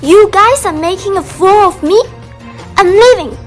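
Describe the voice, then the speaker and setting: Squeaky, excitable young Athenian woman, played by a woman. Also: unhurried, faint music behind. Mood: indignant. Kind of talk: amateur radio drama acting.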